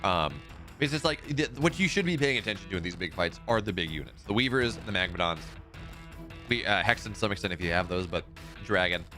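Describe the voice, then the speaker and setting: Lively, bright-voiced male esports caster. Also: low-pitched, fairly quick, faint music behind. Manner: excitable play-by-play, rising in big fights, relaxed and rambling between games. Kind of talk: live game commentary and chat reading.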